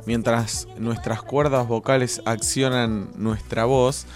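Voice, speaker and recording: A man's voice: mid-range voice, flat delivery, takes it slowly. An Argentine man in his twenties co-hosting a radio show with casual banter, often repeating his phrases. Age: 20s. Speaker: radio co-host. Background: music.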